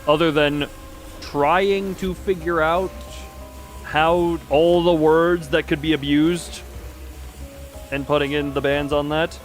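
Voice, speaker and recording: A man's voice: a little high, steady tone, slow pace, soft background music. A man commentating live on a game stream, chatting with his viewers as he plays.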